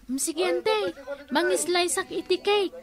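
An agreeable young niece in a radio comedy drama, extremely high in pitch. She speaks with an Ilocano accent, accommodating and generous with her elders. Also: measured pace, a crowd in the background. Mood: obliging.

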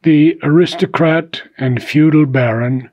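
A man narrating a philosophical audiobook in a solemn, measured manner, with frequent deliberate pauses between phrases. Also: a little high, darkish tone, no room sound, dull audio. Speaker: reader of a philosophical book.

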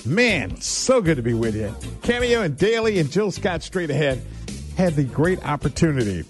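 Animated American radio host, a man. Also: fairly high pitch, moderate pace, faint music behind. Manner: chatty, conversational asides. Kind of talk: upbeat radio DJ talk. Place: radio studio.

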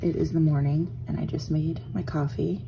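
A woman with a hoarse morning voice, chatting casually as she walks through a craft tutorial at her desk, often trailing off into soft murmurs. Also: sits low, steady tone, normal speed, noisy background. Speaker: art journaling instructor. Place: home craft desk, indoors.

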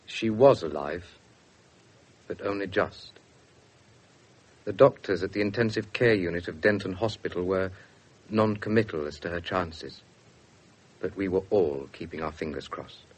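An actor playing an English police officer, narrating a radio drama in a measured way. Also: male voice, deep voice, slightly rough. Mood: measured narration.

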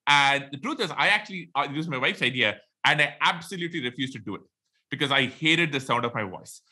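A middle-aged man with an Indian accent, a podcast guest talking quickly and animatedly on a video call from a home room. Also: mid-range voice. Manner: quick, animated, many fillers. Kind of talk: casual interview talk. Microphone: headphones, video call.

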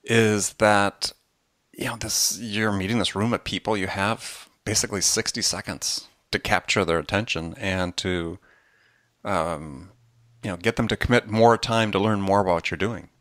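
A man's voice: on the low side, steady tone, moderate pace, fairly bright tone, no echo, clean studio sound. A man speaking as a podcast host, explaining things conversationally in a rambling way with frequent asides. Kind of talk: conversational book discussion on a podcast.

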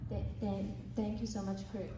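A woman's voice: medium pitch, level tone, normal speed, noisy background. A woman from China speaking on a panel, with a heavy stutter and many repeated words. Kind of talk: panel talk. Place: hotel conference room with audience. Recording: echoey conference hall from far off.

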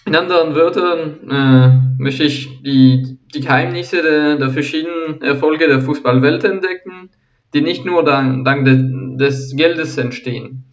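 A young man speaks in a fairly high voice, stumbling and repeating words as he works through a prepared introduction. He sits a little back from a dull, lo-fi microphone. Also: far from the mic. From Switzerland. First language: French. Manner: halting, many repeated words and restarts. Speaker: football channel host. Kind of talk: scripted channel introduction.

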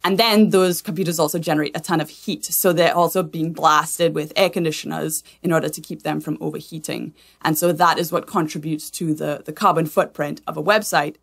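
Lowish-voiced woman from Trinidad and Tobago. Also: steady tone, fairly quick, creaky, hardly any pauses. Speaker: guest, sustainability consultant. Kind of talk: conversational interview explanation.

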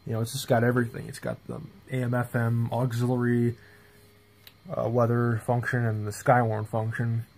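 A man's voice: medium pitch, completely flat delivery, moderate pace, a little mellow. A man casually showing things off in a rambling, off-the-cuff vlog, recorded indoors on a handheld phone. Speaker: hobbyist video presenter. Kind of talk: casual show-and-tell vlog. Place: small bedroom indoors. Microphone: handheld phone camera.

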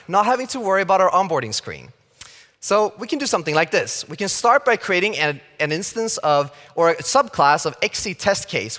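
Lively Swedish man speaking on a stage. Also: sits high. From Sweden. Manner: lively and playful, acts out quoted opinions. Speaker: conference speaker. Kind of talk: tech conference talk. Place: large conference stage. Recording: reverberant hall.

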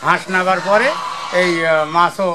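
Middle aged farm guy, pretty high voice, stops and restarts a lot, noisy outdoors with geese around. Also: fairly even intonation. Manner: plain, halting speech with restarts. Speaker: farm manager. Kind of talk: casual interview answers. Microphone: interviewer's handheld mic.

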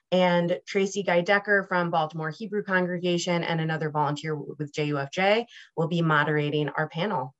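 Lower-pitched American woman on a video call. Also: fairly bright tone. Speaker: event host and volunteer organizer. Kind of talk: welcoming announcements to an online event. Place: small room at home, indoors. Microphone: computer mic on a video call. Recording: dull, compressed video call audio.

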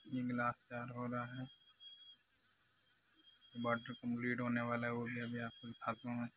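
Young male artisan host, Pakistani accent, very flat pitch, narrating his handiwork step by step over clear workshop noise. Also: moderate pace. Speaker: glass engraver, channel host. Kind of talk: live craft demonstration commentary. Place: glass cutting workshop. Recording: noisy workshop background hum.

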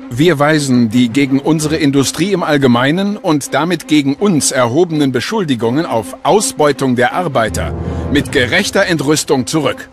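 A male actor plays a hard-line, haughty company director from imperial times in a radio documentary. His voice sits fairly high for a man and his delivery is formal and indignant. Music plays clearly behind him. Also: animated, normal speed, natural voice. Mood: righteous indignation. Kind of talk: dramatized quote in a historical radio documentary.

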